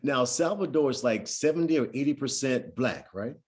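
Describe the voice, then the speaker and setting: A man's voice: mid-range voice, animated, normal speed, darkish tone. A man hosting an interview, asking questions and giving short encouraging affirmations. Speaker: interview host.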